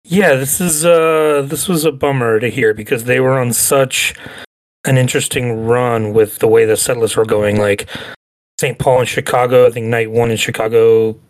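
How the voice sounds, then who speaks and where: A man's voice: mid-range voice, fairly even intonation, moderate pace. A man co-hosting a podcast, giving casual, conversational commentary on music. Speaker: podcast co-host.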